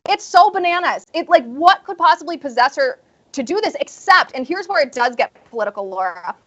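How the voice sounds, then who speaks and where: A woman's voice: high-pitched, expressive, brisk, a bit bright, lo-fi sound. A woman from the Midwest on a talk show panel, building an emphatic, opinionated argument. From American Midwest.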